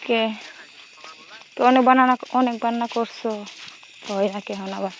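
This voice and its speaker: A woman's voice: fairly high pitch, fairly even intonation, normal speed. A woman with a Bangladeshi accent chatting casually and intimately on the phone in short colloquial fragments. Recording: noisy phone call recording, insect-like hiss.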